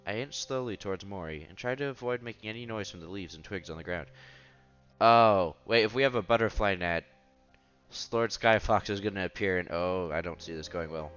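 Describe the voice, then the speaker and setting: A man's voice: low voice, brisk, fairly bright tone, clean tone, highs cut off, faint music behind. A man reading visual novel narration aloud as a streamer, expressively, with casual asides and exclamations.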